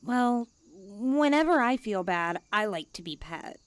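A woman's voice: mid-range voice, expressive, unhurried, no echo, close to an everyday voice. A woman playing a haughty, needy young stray catgirl, blunt and cutesy, in an ASMR comfort roleplay. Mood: smug, coaxing.

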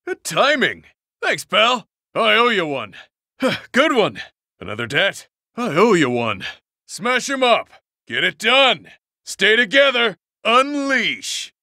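A man's voice: sits high, sing-song, slow, gravelly, bright, forceful tone, no room sound, studio quality, full character voice. A man voicing a cocky, rowdy male brawler in a video game, brash and swaggering. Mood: smug, pleased. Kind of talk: short battle voice clips.

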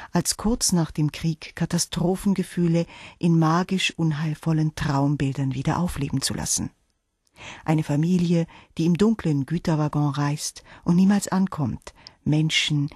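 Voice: medium pitch, darkish tone, slightly rough, no echo, studio quality. A radio presenter reading a scripted introduction about literature.

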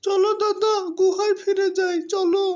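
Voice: very high voice, flat delivery, moderate pace, a little mellow. A performer voicing a young fox, a pleading, whiny chicken thief, in a cartoon. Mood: pleading, sorry. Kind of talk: cartoon voice acting.

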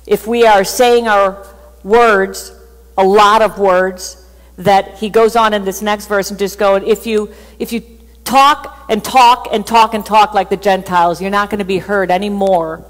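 Chatty woman pastor in a reverberant church. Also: medium pitch. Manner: chatty, animated, laughs at herself. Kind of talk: sermon. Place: church stage with band gear.

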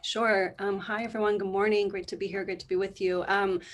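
A woman's voice: medium pitch, flat delivery, fairly quick. A woman in her thirties from Seattle introducing herself on an online panel from her living room over a video call, friendly and chatty. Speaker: panel guest. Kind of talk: casual introduction.

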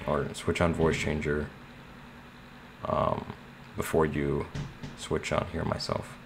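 A man's voice: rumbling low, level tone, takes it slowly. A man narrating a casual screen tutorial in a plain, slightly hesitant way. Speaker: tutorial host. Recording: clear background noise.

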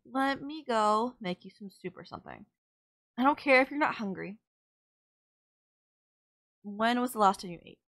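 A woman's voice: medium pitch, a bit bright. Woman acting as a sardonic but caring villainess. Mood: dry, teasing. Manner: blunt, teasing, exasperated yet nurturing. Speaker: voice actor. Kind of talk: audio roleplay drama, intimate one-sided dialogue.

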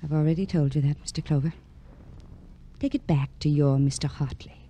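A woman's voice: sits low, fairly even intonation, fairly quick, mellow, slight background noise. An actress playing a guarded, scornful young woman in an old radio crime drama, speaking in formal, theatrical phrases. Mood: bitter scorn. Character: bitter, guarded young female magazine illustrator. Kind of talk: 1940s-50s radio drama acting.

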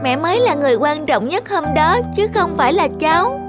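A woman's voice: high voice, very animated, a little fast. A woman voicing a plaintive, clingy little girl in a cartoon. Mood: resentful. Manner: plaintive, childlike. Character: sad, clingy little girl attached to her father. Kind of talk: cartoon voice acting. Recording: music behind the voice.

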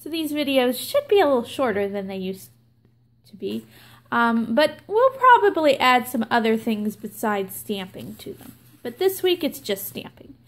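A young woman hosting her own nail art channel, explaining a stamping tutorial slowly and with many ums. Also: a little high, sing-song. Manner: slow, filler-heavy explaining with many ums. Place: indoors at a table, hands filmed from above.

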